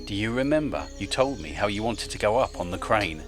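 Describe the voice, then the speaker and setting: A man's voice: on the low side, lively intonation, brisk, music in the background, natural voice. A man playing a smitten, persuasive property developer in a radio drama. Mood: amused, affectionate.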